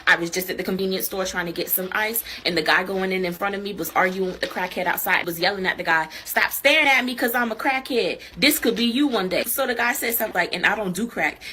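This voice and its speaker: A woman's voice: mid-range voice, slight background noise. A woman telling a comic personal story in a blunt, slangy way, acting out what people said. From Florida, where she lives. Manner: blunt, slangy, animated retelling with acted quotes.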